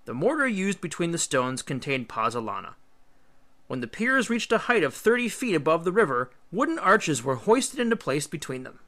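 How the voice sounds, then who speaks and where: A man's voice: a little high, sing-song, moderate pace, pushed and bright. A male teacher reading an illustrated history book aloud, with emphatic, instructional phrasing.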